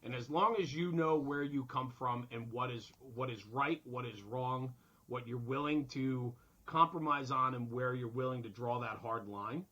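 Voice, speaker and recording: A man's voice: medium pitch, normal speed, bright, forceful tone, lo-fi sound. A man hosting a casual podcast conversation, speaking earnestly and reflectively, often posing rhetorical questions. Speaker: podcast host.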